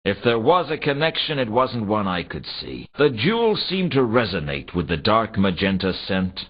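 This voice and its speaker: Hardboiled werewolf detective for a noir game, world-weary deadpan, husky low voice, kinda muffled old game audio. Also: male voice, normal speed. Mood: uneasy, brooding. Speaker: narrator and protagonist of a detective adventure game. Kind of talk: noir detective inner monologue. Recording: dull, compressed 1990s game audio.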